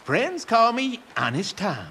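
High, swooping frontier swindler. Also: male voice, huge pitch swings, slow pace, bright, forceful tone, slight background noise, full character voice. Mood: folksy, sly. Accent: American. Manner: folksy, chatty, drawn to exclamations. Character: wily, folksy male frontier horse trader and swindler. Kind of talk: video game western acting.

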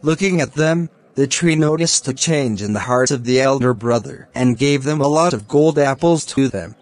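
A man playing a kind, helpful young man in a children's cartoon, speaking in simple, measured scripted lines. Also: mid-range voice, natural voice. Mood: earnest storytelling.

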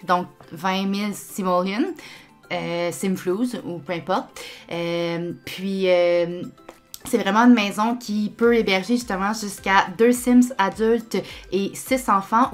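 A young woman with a Québécois French accent, chatting casually over her game footage with lots of fillers and restarts. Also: medium pitch. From Quebec. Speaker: YouTuber.

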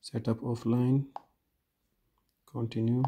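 A man talking through a phone reset step by step in a slow, plain, instructive voice-over with frequent pauses. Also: medium pitch, level tone, warm tone, breathy edge. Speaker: phone repair tutorial host.

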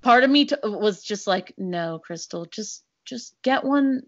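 A woman's voice: mid-range voice, expressive, unhurried, no room sound, lo-fi sound. A young woman co-hosting a podcast, chatting casually with a rambling, self-deprecating manner and frequent laughter. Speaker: podcast co-host. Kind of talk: casual chat.

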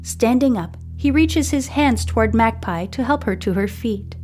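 A woman host reading a story in a measured, scripted audiobook style. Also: a little high, animated, a little mellow. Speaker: podcast host and book narrator. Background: music.

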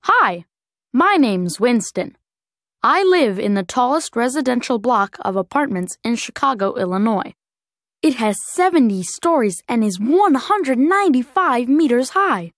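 A woman voicing an upbeat young boy who lives high up in a tower, reading in a lively, clear way for a textbook recording. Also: a little slow, no room sound, clean studio sound. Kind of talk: scripted textbook narration.

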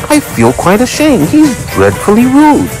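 A man's voice: very high voice, very animated, a little slow, over music, voice pushed up, full character voice. A man voicing prim, easily shocked female railway coaches, twittering reproachfully in a storybook.

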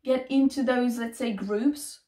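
High-voiced non-native English-speaking woman, echoey room. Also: fairly even intonation, a little slow, dark tone. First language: not English. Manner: emphatic, repetitive phrasing. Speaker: self-help vlogger. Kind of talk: casual motivational talk to camera. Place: small bedroom, indoors. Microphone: camera a few feet away. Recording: echoey room, distant microphone.

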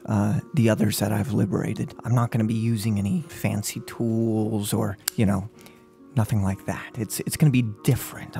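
A young man in his twenties playing a gloating, unhinged serial killer in an ASMR role-play, speaking close to the mic in a halting, mock-polite, menacing way. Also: fairly low pitch, vocal fry, warm tone. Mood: calm menace. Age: 20s. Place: small furnished room at home. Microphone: close microphone on a boom arm.

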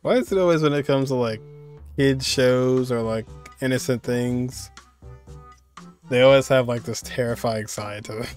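A man's voice: mid-range voice, moderate pace, darkish tone. A young man giving casual reaction commentary as he streams a game. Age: young adult. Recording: game music behind.

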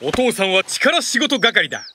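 A man's voice: high voice, very animated, normal speed, pushed and bright, a little hiss or hum, heavily acted. A man voicing an easygoing, enthusiastic young father in a cartoon, explaining things in a breezy, fatherly way. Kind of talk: Japanese animated cartoon acting. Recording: music behind the voice.

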